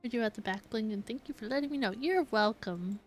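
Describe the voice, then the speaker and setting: A woman's voice: a little high, fast talker, soft background music. A woman game streamer chatting casually, musing aloud and indecisively to her viewers. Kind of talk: casual livestream chat.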